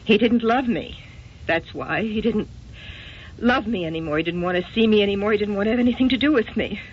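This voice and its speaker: An American woman playing a wounded, evasive wife haunted by lost love, speaking in an emotional, halting way in an old-time radio drama. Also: medium pitch, natural voice. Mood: wounded, anguished. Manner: emotional, halting, old-fashioned genteel exclamations. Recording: dull, noisy old radio recording.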